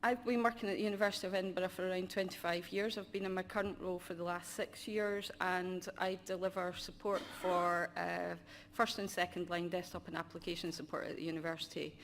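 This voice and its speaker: Low-voiced woman giving a conference talk. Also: a little fast, hardly any pauses, slight background noise. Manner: self-described monotonous delivery with frequent ums. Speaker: conference speaker, university user support manager. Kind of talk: conference presentation.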